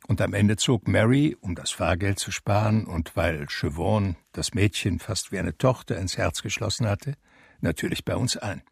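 Deep gravelly wistful blind pianist narrator. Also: male voice, moderate pace, no room sound, studio quality, full character voice. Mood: reminiscing. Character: blind male pianist recalling his life, wistful. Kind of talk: literary first-person radio drama narration.

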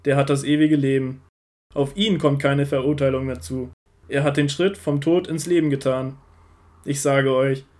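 A solemn, authoritative male teacher played by an actor in a Bible audio drama. His voice sits a little high for a man, and he declares his words earnestly, with calm assurance. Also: natural voice.

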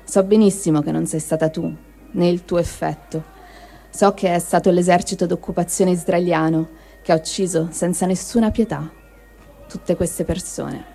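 A woman giving a measured, solemn dramatic reading of a written diary, with pauses between phrases. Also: fairly low pitch, fairly even intonation, vocal fry, warm tone, soft background music. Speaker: reader of written testimonies.

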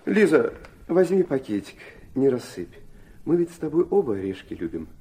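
A man's voice: medium pitch, sing-song, moderate pace, warm tone, a little hiss or hum, natural voice. An actor playing an urbane, aloof, middle-aged aristocratic father in an audio drama, mocking and capricious. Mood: tender, nostalgic. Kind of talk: theatrical audio drama acting.

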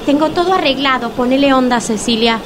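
A woman's voice: high-pitched, brisk, background noise, close to an everyday voice. An actress with a Rioplatense Spanish accent playing a pushy, upbeat woman who coaxes her friend. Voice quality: pushy, coaxing.

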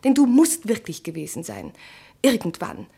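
An actress playing a bitter, mocking estranged wife in a German literary radio play, with an articulate, cutting, theatrical delivery. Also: female voice, mid-range voice, very animated, moderate pace, vocal fry, mellow, slightly rough, exaggerated voice. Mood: bitter, intense.

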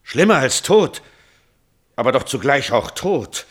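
An actor playing a proud, hot-tempered old nobleman and father in a classical radio tragedy, declaiming with halting, indignant exclamations. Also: mid-range voice, big pitch swings. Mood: anguished. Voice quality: gravelly, husky. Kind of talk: classical German stage tragedy as radio drama.

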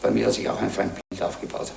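In an echoey room, a man answers an interviewer tersely and in measured phrases. His voice is extremely creaky and gravelly. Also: on the low side, fairly even intonation, a little mellow. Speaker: dentist. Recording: echoey, slightly noisy film soundtrack.

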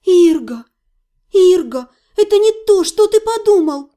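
A woman's voice: very high-pitched, normal speed, warm tone, pitched above the performer's own voice. A woman playing a distraught young woman in an audiobook, pleading with her fiancé in a flustered, defensive way. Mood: desperate. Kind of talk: dramatized audiobook dialogue.

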